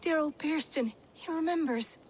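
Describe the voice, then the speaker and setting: A woman's voice: high voice, moderate pace, slight background noise, natural voice. A woman playing a plucky middle-aged peasant and protective elder sister in a video game, earthy and forthright. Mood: wistful, moved. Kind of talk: video game cutscene acting.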